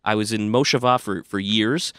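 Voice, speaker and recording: A young man from Canada, chatting as a radio guest in a halting way, with fillers and repeats. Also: fairly low pitch, a bit bright, clear, resonant tone, dry recording, studio quality. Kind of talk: casual studio chat.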